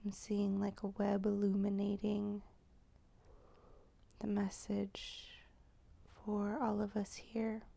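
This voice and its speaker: A woman leading an improvised guided meditation, speaking softly and haltingly with pauses, often close to a whisper. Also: mid-range voice, completely flat delivery, creaky. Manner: slow, hushed, halting delivery with pauses.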